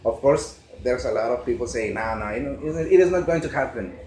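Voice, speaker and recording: A man around thirty with a Mexican Spanish accent, a bassist speaking earnestly and haltingly as he searches for English words. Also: a little mellow. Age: 30s. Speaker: bassist in a touring band. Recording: echoey room with crowd noise.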